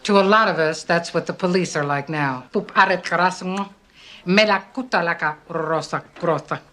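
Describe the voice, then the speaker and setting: Actress as low-voiced, assertive alien businesswoman. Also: moderate pace, a bit bright, natural voice. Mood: pointed. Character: assertive alien businesswoman, proud community association president. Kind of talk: television drama acting.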